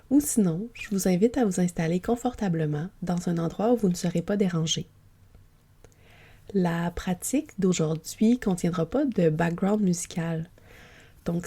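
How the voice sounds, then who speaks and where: A woman gently guiding a yoga nidra relaxation for sleep, with a soft, breathy, soothing and unhurried delivery. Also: lowish voice. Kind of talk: guided sleep relaxation, meditation narration.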